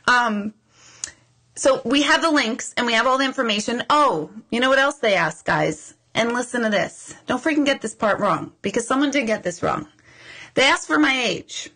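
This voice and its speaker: High, bright-voiced chatty woman in her forties. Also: animated. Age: 40s. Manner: chatty and rambling, quick asides and mock outrage. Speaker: intuitive medium hosting a live Q&A. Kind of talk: casual livestream chat with viewers.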